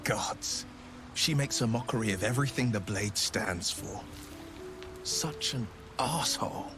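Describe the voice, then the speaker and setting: A man's voice: medium pitch, measured pace, over music, lightly acted. A man playing an exasperated, proud adventurer in a video game, with an indignant way of talking. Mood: bitter, disgusted.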